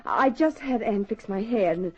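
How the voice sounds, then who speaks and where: An actress playing an educated, poised, gently probing lady with genteel, precise phrasing in an old radio drama. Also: mid-range voice, lively intonation, moderate pace, natural voice. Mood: wry, uneasy. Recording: muffled old radio transcription recording.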